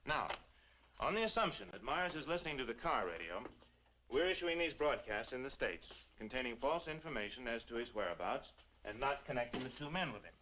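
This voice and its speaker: A faintly noisy old film soundtrack carries a male lawman. His voice is forceful and lively in pitch, his manner official and courteous. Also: fairly quick. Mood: official, matter-of-fact. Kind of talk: 1950s film acting.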